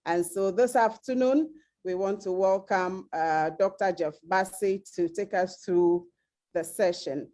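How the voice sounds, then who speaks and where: A woman moderating an online information session, giving instructions and introducing the guest with occasional filler pauses. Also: medium pitch, warm tone. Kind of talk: online event announcements.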